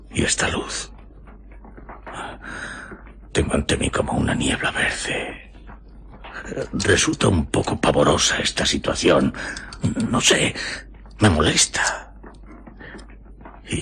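An actor playing a nosy, nervous village clockmaker in radio drama, hesitant and muttering to himself. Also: male voice, rumbling low, vocal fry, pushed and bright, a little hiss or hum, heavily acted. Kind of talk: Spanish radio drama acting.

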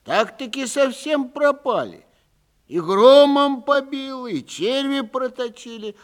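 Wailing, high-voiced peasant steward. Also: male voice, lively intonation, exaggerated voice. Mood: plaintive, evasive. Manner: drawn-out, plaintive wailing excuses. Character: whining, evasive peasant estate steward, male, making excuses.

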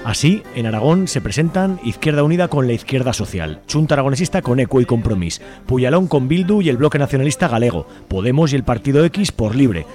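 Male radio co-host, Spain accent, medium pitch, scripted political editorial over a music bed. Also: big pitch swings, brisk, a little mellow.

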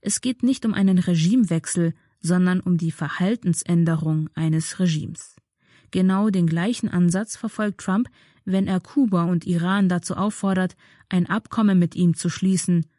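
A woman reads a scripted commentary in a measured, formal manner. Her voice sits fairly low and has a very mellow, soft-edged tone. The sound is dry, with hardly any room around it. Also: fairly even intonation, no echo. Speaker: radio presenter reading a political commentary. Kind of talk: scripted narration, reading an article aloud.

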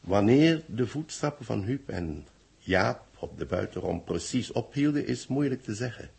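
A man in a radio drama playing a grave, weary middle-aged official who speaks in solemn, measured announcements. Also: fairly low pitch, animated, creaky, darkish tone, husky, full character voice. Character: grave, weary male official announcing tragedy to a doomed world. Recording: vintage 1960s radio drama recording.

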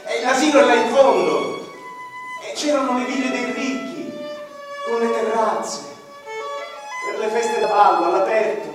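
A man's voice: very high voice, fairly even intonation, measured pace, pauses often, music in the background. A man playing an ordinary man mourning his lost village, echoing through a hall. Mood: wistful. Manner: lyrical, dramatic swings from loud to hushed. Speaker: actor performing a radio-theatre monologue. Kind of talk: theatrical first-person monologue with violin accompaniment. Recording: echoey hall from far off, with violin.

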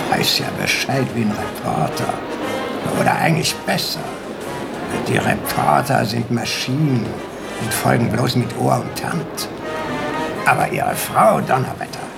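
A jovial, condescending Prussian official for a radio drama. He speaks slowly in clipped bursts, with a heavily creaky voice. Prominent music plays behind him. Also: medium pitch, full character voice. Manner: clipped, exclamatory, condescendingly hearty. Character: jovial, blustering Prussian district official, tipsy with admiration. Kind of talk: German radio play acting.

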